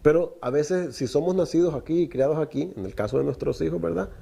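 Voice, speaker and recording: A man's voice: fairly high pitch, fairly quick, vocal fry, warm tone, husky. A middle-aged man whose first language is Spanish, preaching a Torah lesson and asking the listeners rhetorical questions. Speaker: teacher of a Torah portion.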